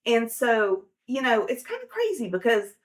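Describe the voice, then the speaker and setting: A woman talks through financial advice in a relaxed, conversational way. She uses plenty of everyday fillers. Her voice sits a little high. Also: normal speed. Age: 40s. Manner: chatty, down-to-earth, frequent fillers. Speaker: personal finance host. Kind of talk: casual advice talk to camera.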